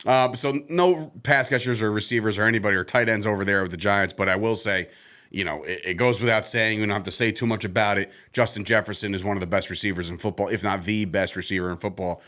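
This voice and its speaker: A man co-hosting a casual sports podcast, loud and quick to laugh. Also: on the low side. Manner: loud, laughs often, excitable. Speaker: co-host.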